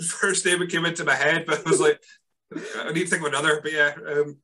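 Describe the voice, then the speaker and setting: A man's voice: a little high, level tone, fast, bright, forceful tone. A man from northeast Scotland hosting a podcast, chatting casually, laughing often and restarting his sentences. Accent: Scottish, northeast. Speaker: podcast host. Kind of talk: casual chat.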